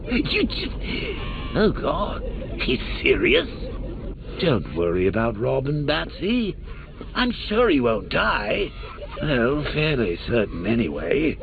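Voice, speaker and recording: A manic clown villain from a video game, his gravelly, creaking voice swooping wildly up and down as he drawls out his taunts. The lines come through a tinny, phone-like recording with a clear hiss behind them. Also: on the high side, huge pitch swings, takes it slowly, heavily acted. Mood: taunting. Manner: mocking, theatrical taunts with sudden cackles. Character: manic cackling adult male clown villain.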